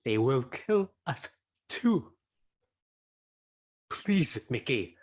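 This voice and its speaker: A woman's voice: extremely low pitch, very animated, very slow, darkish tone, breathy edge, exaggerated voice. A woman playing a scared but pragmatic young camper in a staged reading, speaking insistently. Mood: urgent pleading.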